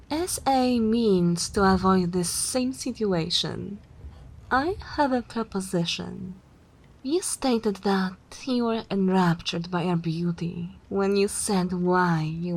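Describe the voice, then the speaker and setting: A woman's voice: lowish voice, slow, a little mellow, low-quality recording. A woman playing a haughty, seductive vampire mistress in an ASMR roleplay, teasing and self-assured in a theatrical way. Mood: composed, scheming.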